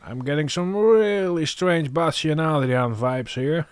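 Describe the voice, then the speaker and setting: Slow-paced man, dry close sound. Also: fairly high pitch, smooth, no echo. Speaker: music production tutorial host. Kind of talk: casual tutorial, thinking aloud.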